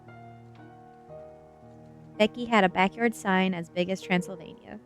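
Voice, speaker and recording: A woman streamer with a soft-spoken, informal manner, reading aloud for a live audience in a casual ASMR style. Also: medium pitch, steady tone, a little fast, faint music behind. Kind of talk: reading a novel aloud on a livestream, with chat asides.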